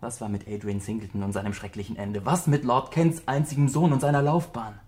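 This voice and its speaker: A man's voice: mid-range voice, fairly even intonation, fairly quick, a little mellow, close to an everyday voice. Guy playing a worried upright painter type in a radio play, medium male voice, formal, earnest and pressing. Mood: grave, insistent. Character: earnest, morally concerned gentleman painter, anxious friend. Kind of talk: radio drama acting.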